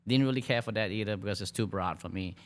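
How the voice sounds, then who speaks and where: Relaxed man from Malaysia, lower voice. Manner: relaxed, halting, many fillers and restarts. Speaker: guest, hospitality dean. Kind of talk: conversational podcast interview.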